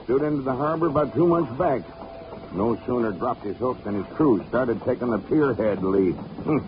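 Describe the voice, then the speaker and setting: An actor playing a gruff old boat captain who talks in nautical slang, in an old radio drama with a dull, hissy recording. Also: male voice, medium pitch, expressive, moderate pace, lightly acted. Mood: salty, gruff. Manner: nautical slang, calls others "Sonny". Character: gruff old male boat captain, salty sea talk. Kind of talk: 1940s radio acting.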